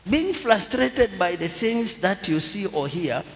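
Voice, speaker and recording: A middle-aged man from Zambia, preaching a sermon slowly and deliberately into a handheld microphone, leading the congregation in prompts. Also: high voice, animated. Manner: slow, deliberate preaching with call-and-response prompts. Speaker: pastor. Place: large church hall with congregation. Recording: long hall reverb.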